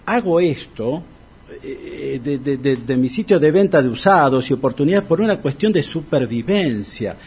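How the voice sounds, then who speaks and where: Husky, melodramatic Argentine sad sack Tito. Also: male voice, a little high, expressive, moderate pace, darkish tone, full character voice. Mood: indignant. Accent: Argentine Rioplatense. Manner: self-pitying, theatrical. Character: Tito, a melodramatic debt-ridden man pining for his ex-wife. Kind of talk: comic radio drama acting.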